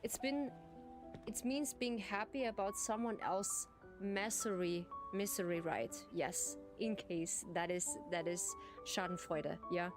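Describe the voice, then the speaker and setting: Giggly woman chatting slowly. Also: medium pitch. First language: German. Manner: giggly, laughs often. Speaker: streamer. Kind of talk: casual chat with viewers. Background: game music.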